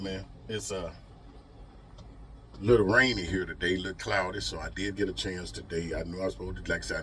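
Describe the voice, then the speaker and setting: A man's voice: deep voice, noisy background. A man talking casually as a trucker vlogger, giving advice in an emphatic, folksy way with repeated rhetorical questions. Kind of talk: motivational advice vlog, casual talk.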